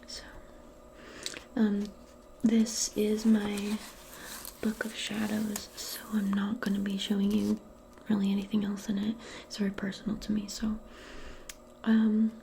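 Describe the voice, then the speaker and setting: A woman doing a soft-spoken, whispered ASMR role play as a modern witch, hushed and often repeating words rhythmically. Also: medium pitch, monotone, unhurried, vocal fry, slight background noise.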